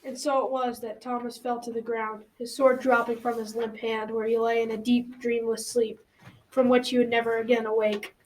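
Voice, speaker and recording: A storytelling grandparent in a school radio play, acted by a young female student. The voice is youthful and fairly high, reading the folktale in a measured way. Faint noise runs behind it. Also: little pitch movement, darkish tone, close to an everyday voice, rough recording. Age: child or teen. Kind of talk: scripted storytelling, amateur radio drama.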